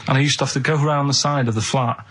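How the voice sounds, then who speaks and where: A man's voice: medium pitch, fairly even intonation, quick pace, a bit bright, slight background noise. A man, co-hosting a radio show and telling a casual, rambling anecdote in an earnest, plain-spoken way. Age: 30s. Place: radio studio.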